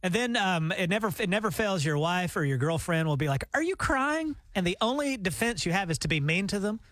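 A man co-hosting a morning radio show in casual banter, teasing and joking, his voice deeper and rougher than usual today. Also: animated, fast, fairly bright tone, dry recording. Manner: teasing, jokey. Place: radio studio. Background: music bed.

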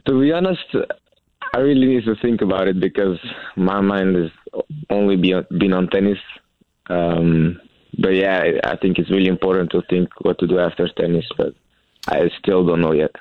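A young man from Belgium answers questions in a very deep voice, searching for words and restarting his sentences often. The sound is thin and phone-like, with no room around it. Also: fairly even intonation, no room sound. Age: young adult. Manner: halting, many fillers and restarts. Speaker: college tennis player. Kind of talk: interview answers.

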